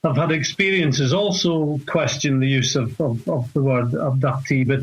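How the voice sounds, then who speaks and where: A Scottish man in his sixties, calling in to a radio talk show and speaking in a measured, hesitant way with many fillers. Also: medium pitch, breathy edge, poor audio. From north of Scotland. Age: 60s. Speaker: caller.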